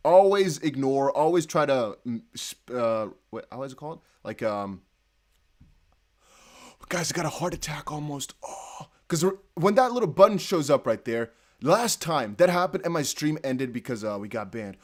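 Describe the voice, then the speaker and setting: A young man with a Dutch accent hosting a casual livestream, reading chat aloud and breaking into excited whoops. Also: a little high, moderate pace. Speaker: crypto livestream host.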